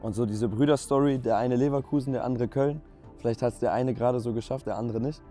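A man's voice: mid-range voice, steady tone, quick pace, dark tone, soft background music. A man speaking as a panelist, musing and unsure as he weighs his guesses. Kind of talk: casual panel chat.

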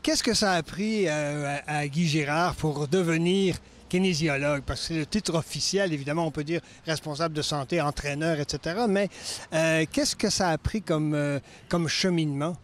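Older French-Canadian interview host, high voice, rambling questions with hesitations, recorded outdoors on a handheld mic. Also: male voice, moderate pace. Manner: chatty, hesitant, searching for words. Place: outdoors in a leafy park.

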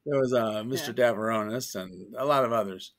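A man's voice: lowish voice, a little fast. Wry joking man telling stories. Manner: wry, joking storyteller with restarts. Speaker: guest, science fiction author. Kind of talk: casual interview chat.

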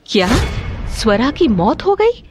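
A woman's voice: fairly high pitch, very animated, slow pace, a little mellow, a little hiss or hum. A woman voicing an emotional, remorseful mother with earnest, dramatic delivery in a cartoon story. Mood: shocked.

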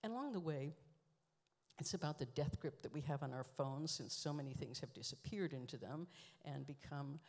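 Low-voiced woman musing on a theater stage. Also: animated, darkish tone. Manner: dry, musing storytelling with deliberate pauses. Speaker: performance artist reading her book on stage. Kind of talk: spoken-word stage monologue. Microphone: stand microphone. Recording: live hall reverb.

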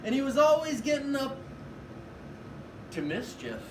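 A man reads a children's picture book aloud from across an echoey room. His delivery is playful and exaggerated, rolling along in a sing-song rhythm, with clear noise around him. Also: very high-pitched, very animated, unhurried, far from the mic. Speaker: father reading aloud to children. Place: small home living room with children on the floor. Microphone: far camera across the room.

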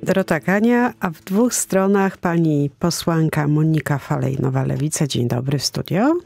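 A middle-aged woman hosting a political radio interview from a studio, often pausing and restarting her sentences. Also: deep voice, creaky, darkish tone, dry recording, faint music behind. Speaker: radio host. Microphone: studio mic with headphones.

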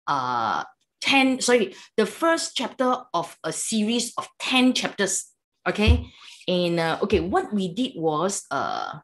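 An older woman with a Malaysian English accent, native in Cantonese, giving an online Buddhist talk over a video call from a home room, chatty and halting, tagging lines with "okay" and "lah". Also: mid-range voice. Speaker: Buddhist teacher. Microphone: video call webcam.

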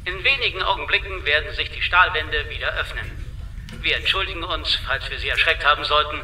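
An actor playing a calm, official cruise captain making a formal, reassuring announcement over a ship's PA in a radio drama. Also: male voice, on the high side, brisk, slight background noise. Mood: official reassurance. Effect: ship's public address speaker. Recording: echoey, distant PA announcement.

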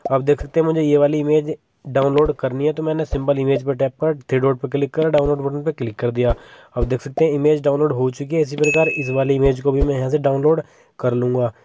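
Young man with a mellow voice, step-by-step tutorial. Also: mid-range voice, quick pace, slight background noise. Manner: steady step-by-step instructions, repeats "simple" often. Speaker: tutorial channel host. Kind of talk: screen-recorded phone tutorial voice-over.

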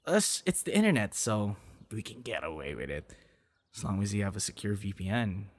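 A young man playing a playful, affectionate boyfriend in an ASMR audio roleplay, with a teasing, encouraging, slightly halting delivery. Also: on the low side, very animated. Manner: teasing, encouraging, halting with soft laughs and gasps. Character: playful, affectionate young male voice actor on a date.

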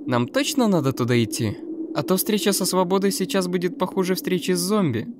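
A man voicing a seasoned, world-weary stalker guide in an animated web series. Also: high voice, a little fast, noisy background. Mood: wary.

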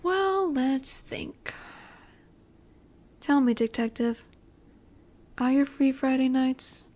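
A woman playing a flirty, confident female mafia boss in a roleplay audio drama, coy and teasing with breathy asides. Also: sits high, animated, takes it slowly, darkish tone. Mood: coy, flirtatious.

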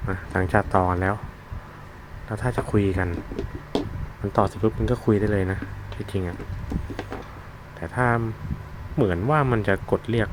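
A man with a fairly low voice talks through a product demonstration in an informal, unscripted way. He explains step by step, often pausing to find the words. There is clear noise behind him, with vehicle sounds. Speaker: product reviewer demonstrating a gadget.

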